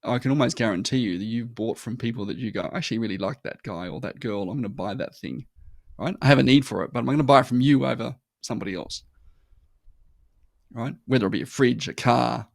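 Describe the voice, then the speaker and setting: A man with an Australian accent, a guest on a marketing podcast who talks in a lively, anecdotal way. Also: mid-range voice, fast talker, gravelly, no echo, clean studio sound. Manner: animated storytelling, acts out customer voices. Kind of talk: conversational interview.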